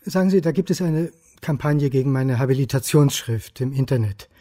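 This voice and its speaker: A man's voice: medium pitch, lively intonation, brisk, dark tone, dry recording, clean studio sound, lightly acted. An actor playing a prickly, insistent male historian turned amateur sleuth in a radio crime play, with a pointed, questioning manner. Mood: probing. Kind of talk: German radio play dialogue and first-person narration. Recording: music behind the voice.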